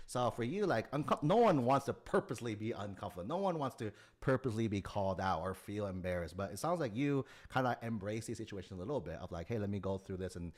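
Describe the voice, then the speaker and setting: Low-voiced male coach asking probing questions. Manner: probing, repeats "right?" and "as well too". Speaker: admissions coach.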